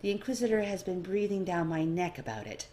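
A woman voicing an irritable female spymaster in a story, brisk and imperious. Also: lowish voice, lively intonation, warm tone, a little hiss or hum, close to an everyday voice. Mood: irritated.

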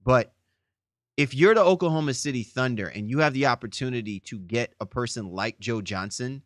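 A man speaks as a sports podcast co-host at a middling pitch. He lays out his opinions emphatically and at length, and the recording is dry and close, with little room sound. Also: normal speed, no room sound.

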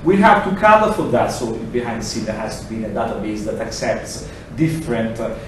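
Man, Italian accent, middle-aged, animated lecture, distant camera, very echoey room. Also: lowish voice, brisk, background noise. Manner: animated, gesturing, acts out examples in quoted voices. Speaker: lecturer presenting a project. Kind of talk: lively technical presentation. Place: large lecture room, indoors. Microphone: far camera, no mic.

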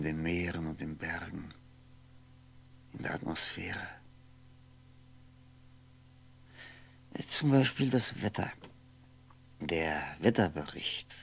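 Solitary brooding man, radio-play monologue, low gravelly voice, poetic and halting. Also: full character voice. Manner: musing, halting, poetic, sometimes near whisper. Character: lonely, brooding man talking to himself.

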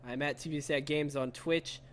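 A young adult man co-hosting a gaming podcast with casual, slangy, excitable banter over a headset. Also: on the high side, moderate pace. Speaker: podcast co-host and game streamer. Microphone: headset, online call. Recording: faint background noise.

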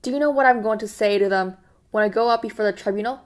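A woman's voice: medium pitch, fast talker, natural voice. A woman voicing a frightened, servile middle-aged man who pleads anxiously and almost blubbers.

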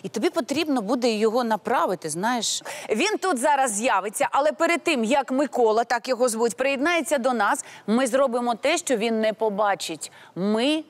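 A woman in her thirties hosting a TV dating show in a studio, upbeat and lively, on a lapel mic. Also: a little high, normal speed, dry recording. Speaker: TV host. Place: large TV studio set.

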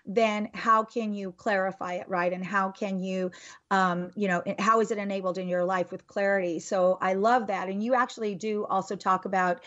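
A woman's voice: medium pitch, steady tone, normal speed, a bit bright, muffled recording. A woman hosting an interview, enthusiastic and rambling, with many fillers. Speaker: podcast host. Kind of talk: interview host.

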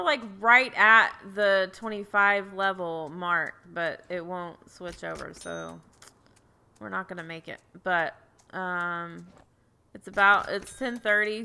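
Bright gravelly-voiced woman streamer, chatty. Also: medium pitch. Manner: chatty, giggly, thinking aloud. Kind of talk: casual gameplay chat. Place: home room indoors. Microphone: headset.

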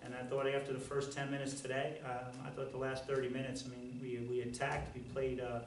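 A middle-aged man, a basketball coach, answering press questions in a halting way with many fillers and restarts. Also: medium pitch, monotone. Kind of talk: post-game press conference answers. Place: press conference room. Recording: roomy, distant, faint noise.

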